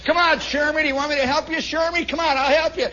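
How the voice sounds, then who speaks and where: A man voicing jeering young American soldiers in a loud, strained, mocking holler, as part of a comic story. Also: extremely high pitch, fairly even intonation, fast, pitched above the performer's own voice. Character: jeering young male barracks soldiers taunting a comrade. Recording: muffled recording with clear background noise.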